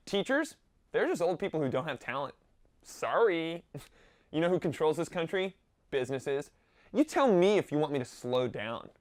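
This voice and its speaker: A man playing a self-important, smug teenage boy with casual phrasing in a comedy monologue. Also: fairly high pitch. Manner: smug, casual, sheepish apologies. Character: self-important male teenager who thinks he's figured out life.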